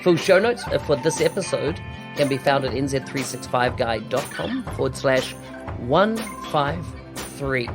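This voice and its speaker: A man's voice: mid-range voice, lively intonation, a little slow. Podcast host man with music behind. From New Zealand. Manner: friendly, conversational, with fillers. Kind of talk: podcast interview hosting.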